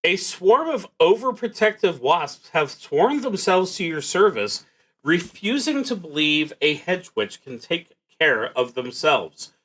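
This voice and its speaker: A man narrating a solo tabletop game, reading cards aloud and thinking out loud in a casual, wry way. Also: a little high, very animated, measured pace, low-quality recording. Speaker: solo roleplaying game streamer.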